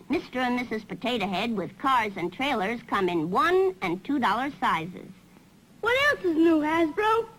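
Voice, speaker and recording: With faint noise behind her, a young woman delivers an upbeat toy advert. Her high voice swings about animatedly as she cheerfully demonstrates the product. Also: a bit bright, rough recording. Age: young adult. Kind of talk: upbeat toy commercial pitch.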